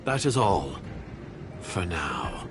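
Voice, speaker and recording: An actor playing a cold, cautious agent villain in a video game, measured and disdainful. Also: male voice, deep voice, sing-song, heavily acted. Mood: cold, guarded. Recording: game dialogue with music behind.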